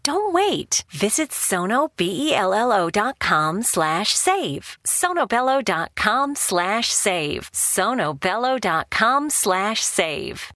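A female announcer delivers a scripted commercial. Her mid-pitched voice moves in a highly animated way, with a very dry studio sound. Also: slow pace, hardly any pauses, dry recording. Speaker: advertisement announcer. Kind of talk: scripted radio ad read.

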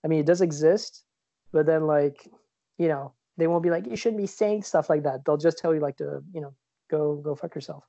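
A man from Switzerland chats loosely on a podcast, circling his points with many fillers and false starts. His voice is dark and mellow. The sound is dry and a little dull. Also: fairly high pitch, normal speed, vocal fry, no room sound. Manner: rambling, many fillers and restarts. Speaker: podcast co-host. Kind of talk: casual chat. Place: lives in New York.

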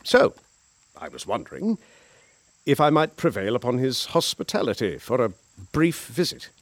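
A man's voice: medium pitch, wildly swooping pitch, normal speed. An actor playing a polite young war veteran who narrates his journal and stammers hesitantly, in a radio drama. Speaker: narrator and lead character.

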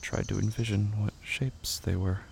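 A male narrator reads horror fiction in a very deep, heavily creaky voice. His delivery is somber and deliberate, keeping to a narrow range. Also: flat delivery, background noise.

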